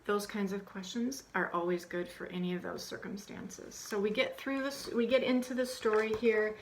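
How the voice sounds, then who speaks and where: A woman's voice: medium pitch, normal speed, slight background noise, poor audio. A woman giving an informal instructional talk on reading aloud to children, conversational with frequent ums and restarts. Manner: conversational, many ums, acts out questions to children in a hushed, animated voice. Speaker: teacher trainer.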